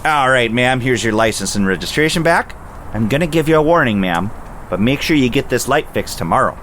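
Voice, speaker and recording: A man's voice: medium pitch, lively intonation, a little fast, natural voice. A man acting as an earnest police patrol officer in an audio drama. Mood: relaxed, friendly. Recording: loud car and engine ambience noise.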